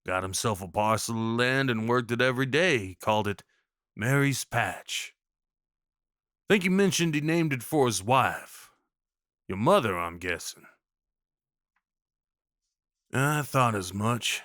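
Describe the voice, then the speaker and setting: Man acting, Old West cowboy, protective, awkwardly kind, informal, medium pitch, intimate roleplay, dry recording. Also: animated, measured pace, pauses often, a bit bright, no echo. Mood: solemn, sympathetic. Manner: folksy, reassuring, informal.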